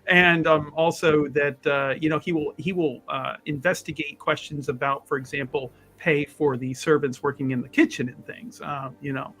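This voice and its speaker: A man playing a tabletop game, improvising third-person narration for his character in wordy, halting sentences. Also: on the high side, steady tone, fairly bright tone. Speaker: tabletop roleplaying player.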